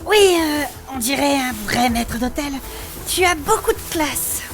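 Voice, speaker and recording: A woman's voice: sits high, expressive, unhurried. A woman playing a coquettish, easily offended armoured adventurer in a French-style comic fantasy audio drama, with hesitant, colloquial, cooing speech. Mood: flirtatious. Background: music.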